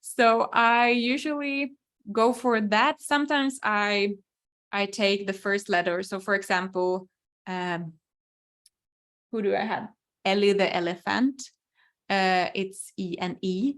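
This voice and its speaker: A young woman, speaking English as an at a slow, easy pace. She answers viewer questions through a computer mic, pausing to think aloud with frequent ums and small restarts. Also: mid-range voice, steady tone, a bit bright. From Finland. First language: Swedish. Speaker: webinar host, crochet designer. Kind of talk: live webinar Q&A.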